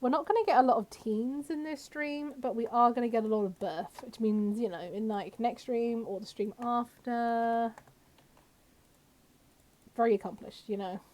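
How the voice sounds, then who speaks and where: A woman's voice: on the high side, moderate pace, a little mellow. A woman with a slightly scratchy voice from a cold, chatting casually as she live-streams a game to viewers and talks to its characters. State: has a cold.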